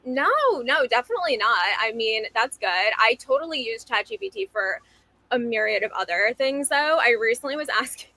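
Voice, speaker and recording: A woman's voice: on the high side, expressive, moderate pace, bright, forceful tone, dry recording, poor audio. A woman in her twenties from New Jersey, chatting and laughing easily on a speed date. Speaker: dating show contestant. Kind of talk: casual speed-date chat.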